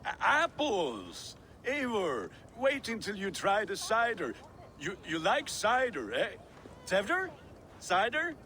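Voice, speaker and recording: An actor playing a hearty, friendly Danish smith and eager bridegroom in a video game cutscene, jovial and teasing. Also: male voice, high voice, huge pitch swings, takes it slowly, bright, forceful tone, slight background noise, full character voice. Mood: excited, teasing.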